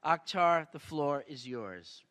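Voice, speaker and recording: A man giving formal welcome remarks at an event, measured and polite. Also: on the high side, lively intonation, fairly bright tone, slight background noise. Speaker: event organizer.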